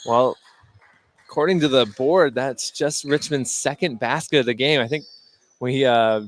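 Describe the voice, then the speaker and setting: A man's voice: mid-range voice, unhurried. A man giving unscripted color analysis during a live basketball broadcast, conversational and feeling his way through his phrasing. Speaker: color commentator.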